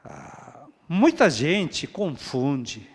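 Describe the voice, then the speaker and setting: A male pastor preaches in a lively, sing-song voice that sits slightly high for a man. He swings between quiet, low asides and loud, soaring repetitions. The sound carries the long reverb of a church hall. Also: very slow, slightly rough. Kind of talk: sermon.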